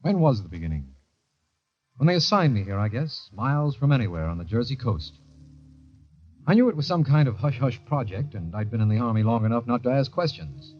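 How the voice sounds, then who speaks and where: A man's voice: medium pitch, very animated, fairly quick, lightly acted. A man acting as a haunted young army officer in a 1940s radio play. His mid-range voice is earnest, intense and confiding. The recording is dull with age, with faint music beneath. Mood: uneasy, reflective. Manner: intense, confiding first-person narration. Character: haunted, earnest young army lieutenant scientist recounting horror.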